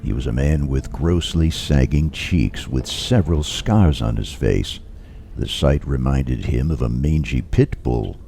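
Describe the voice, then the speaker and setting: A man's voice: very deep voice, unhurried, vocal fry, warm tone, a little hiss or hum, exaggerated voice. A man reading scripted narration for an audio drama as a grave storyteller.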